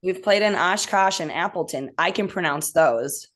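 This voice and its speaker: Midwestern woman, deep-pitched, easygoing joking band guest. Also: normal speed, a bit bright. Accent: Midwestern American. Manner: laid-back, joking, self-deprecating. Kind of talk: casual interview chat.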